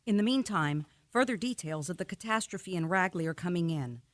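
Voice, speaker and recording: A woman playing an earnest, formal news announcer in a radio play, reading bulletins in a measured way. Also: fairly low pitch, expressive, dry recording, natural voice. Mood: grave, composed. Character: earnest, composed female radio news announcer covering a catastrophe.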